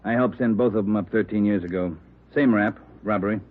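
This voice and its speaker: An American actor playing a gruff, demanding veteran police captain in an old radio drama, speaking curtly. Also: male voice, fairly low pitch, a little fast, close to an everyday voice. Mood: brusque, demanding. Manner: brusque, curt. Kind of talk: 1950s radio drama acting. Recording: thin, tinny old radio broadcast.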